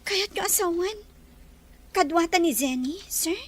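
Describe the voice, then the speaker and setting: Shy young working woman for radio drama, played by an actress: very high voice, humble, hesitant, deferential. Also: expressive, unhurried. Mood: doubtful. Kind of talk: Ilocano radio drama acting.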